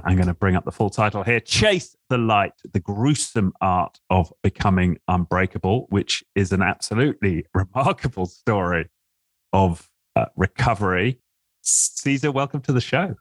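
A man's voice: on the low side, expressive, moderate pace, dry recording. A man hosting a podcast interview, asking questions hesitantly, with many fillers and restarts. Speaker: podcast host.

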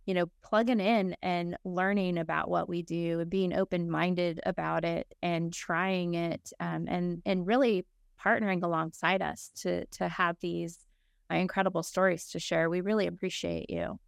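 A woman's voice: fairly low pitch, moderate pace, gravelly, clear, resonant tone, dry recording, studio quality. A woman hosting a podcast interview over a video call. She is appreciative and talks casually, with fillers and restarts. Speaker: podcast host.

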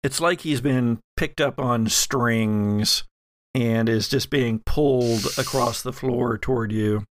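A man acts as game master, describing scenes in a wry, conversational manner. He takes his time over the details at an unhurried pace. The sound is dry, with very little room in it. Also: medium pitch, no room sound. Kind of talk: narrating a tabletop role-playing game, conversational.

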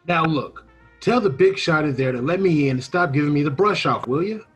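A husky-voiced small-time crook of an informant as acted in a radio play, slangy and wary. He grumbles like a street tough in comic old-time style, over music. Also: medium pitch, animated, brisk. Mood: pushy, indignant.

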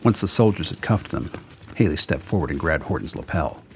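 A man with a very deep, husky voice reading scripted narration for a radio drama. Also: lively intonation, fairly quick, a little hiss or hum.

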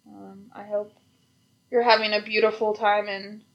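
A woman's voice: medium pitch, completely flat delivery, normal speed, gravelly, muffled recording. A woman co-hosting a podcast, joking around with teasing, wry asides. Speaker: podcast co-host. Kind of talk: casual banter.

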